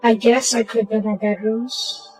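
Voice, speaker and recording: A woman with a slightly hoarse voice, casually talking through a strategy game and muttering to herself as she plays. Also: on the high side, steady tone, unhurried, soft background music, low-quality recording. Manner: muttering to herself while playing, trailing off into quiet asides. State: hoarse from a sore throat. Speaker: gaming channel host. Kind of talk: casual gameplay commentary.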